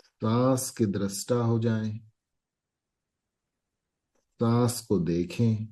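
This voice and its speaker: A man guiding a meditation as an instructor, speaking calmly in short phrases with long pauses. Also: fairly low pitch.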